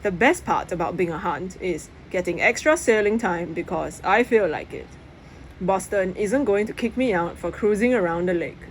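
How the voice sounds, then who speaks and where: A woman's voice: lowish voice, normal speed, poor audio. A woman reading a children's novel aloud as first-person narration. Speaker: audiobook reader. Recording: faint background noise.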